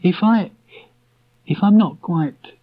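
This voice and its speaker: A man voicing a young boy in a storybook, tender, dreamy and earnest, speaking haltingly. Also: high voice, pitched above the performer's own voice. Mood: earnest, wistful. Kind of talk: storybook character voice. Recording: dull restored cassette tape.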